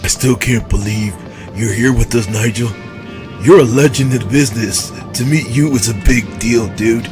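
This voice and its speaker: A man's voice: mid-range voice, normal speed, music in the background, lightly acted. A man playing a laid-back, starstruck champion wrestler with a casual, eager manner in an audio drama.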